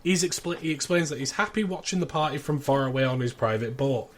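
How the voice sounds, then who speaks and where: A man's voice: mid-range voice, a little fast. A man in his thirties chatting casually and recapping as a podcast co-host.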